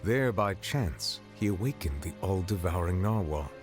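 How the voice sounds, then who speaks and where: An ancient dragon sovereign in human form speaks in a low, grave voice. He explains things in formal, measured sentences with solemn calm. Clear music runs under his lines. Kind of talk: game character dialogue. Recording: game audio with music behind.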